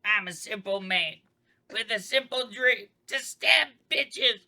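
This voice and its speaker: A man's voice: high-pitched, lively intonation, slow pace, heavily acted. A man reading the part of a crude, volatile killer clown hosting a deadly contest, at a table read. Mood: sneering. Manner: crude, swaggering, erupts into shouting. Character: foul-mouthed killer clown host, male, volatile. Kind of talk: table read of a horror comedy.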